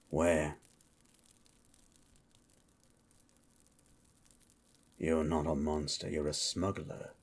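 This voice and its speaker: Man playing a very deep, husky monster hunter. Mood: suspicious, stern. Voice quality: husky, very low. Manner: slow, deliberate, terse, coldly interrogating. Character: grim, menacing male monster hunter for hire, calmly threatening. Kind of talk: improvised ASMR fantasy roleplay.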